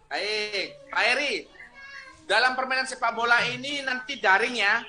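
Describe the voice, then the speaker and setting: A man's voice: very high voice, a little slow, pushed and bright. A man examining a candidate over a video call, friendly and questioning, with Javanese greetings mixed in. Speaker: examiner, lecturer. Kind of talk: online exam interview.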